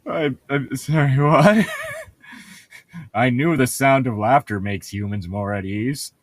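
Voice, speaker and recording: A man's voice: mid-range voice, slow pace, no echo, clean studio sound. A man co-hosting a comedic read-along, reading a book aloud with casual, irreverent, sweary banter.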